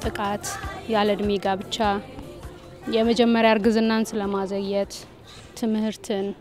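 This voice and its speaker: Woman, explanatory talk, rapid, flat intonation, faint music. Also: medium pitch. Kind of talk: documentary explanation.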